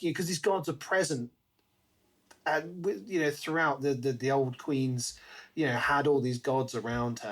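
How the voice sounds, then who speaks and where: A podcast co-host with a medium-pitched voice talks through ideas in a casual chat. The delivery is conversational and musing, as if thinking out loud. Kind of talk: casual book discussion chat.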